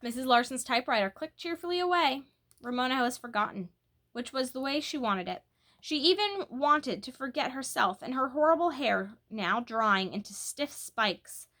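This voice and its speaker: A woman reading a children's book aloud in an expressive, animated and emphatic way. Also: high voice, normal speed. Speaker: storybook reader.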